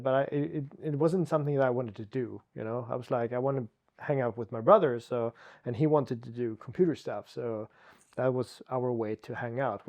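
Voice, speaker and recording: A man from Sweden with a Swedish accent, a guest telling his story in a relaxed chat, with frequent restarts and fillers. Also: mid-range voice. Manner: talks with many restarts and "you know" fillers.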